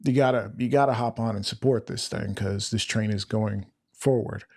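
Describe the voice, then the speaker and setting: A man hosting a podcast, talking in a casual, rambling way with a flat voice he jokes sounds sarcastic. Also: on the low side, normal speed, no echo. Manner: flat-voiced, rambling and chatty, self-described. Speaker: podcast host. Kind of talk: casual interview chat.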